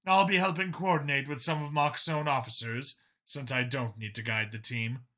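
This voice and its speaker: A man voicing a concerned, practical woman officer with a measured, direct manner in an audiobook story. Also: mid-range voice, steady tone, bright, forceful tone, natural voice. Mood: businesslike.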